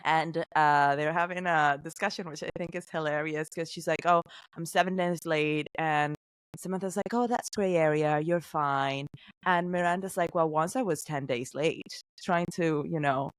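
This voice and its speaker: A woman's voice: sits low, normal speed, clear, resonant tone, no room sound. A woman podcast host, a non-native English speaker, casually recapping an episode and acting out the characters' lines. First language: not English. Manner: animated storytelling, acts out characters' lines.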